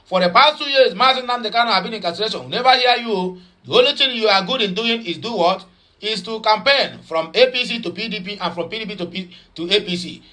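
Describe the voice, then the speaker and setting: Nigerian pidgin guy doing news commentary, high voice, mocking and rambly. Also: quick pace, bright, forceful tone. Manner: chatty, mocking, switches between Pidgin, English and Igbo; rambling with many fillers and repeats.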